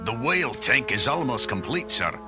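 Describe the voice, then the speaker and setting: A man acts out a dutiful starship engineer in a storybook adventure. His pitch moves in big swings as he reports, with music clearly playing behind him. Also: very animated, moderate pace, natural voice. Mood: businesslike. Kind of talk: dramatized children's read-along storybook acting. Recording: voice over music.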